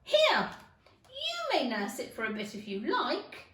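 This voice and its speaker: Lady doing a grumpy storybook duchess, really high and loud, bossy, stretches words out, echoey room. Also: wildly swooping pitch, a little slow, exaggerated voice. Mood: brusque. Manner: brusque, emphatic, stretched-out words. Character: loud, bossy, ill-tempered Duchess, a grown woman. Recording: echoey room, distant microphone.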